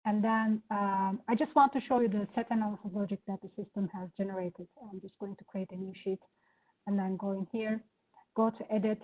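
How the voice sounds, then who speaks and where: A woman with a Turkish accent giving an enthusiastic live software demo, with many fillers. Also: medium pitch, fairly even intonation, a little fast. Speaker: presenter. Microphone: video call. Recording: phone-like online meeting audio.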